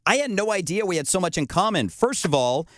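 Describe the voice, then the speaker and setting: Animated radio host, dry close mic. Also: male voice, high-pitched, brisk, dry recording. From Canada. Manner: animated, playful, laughs easily. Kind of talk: interview chat.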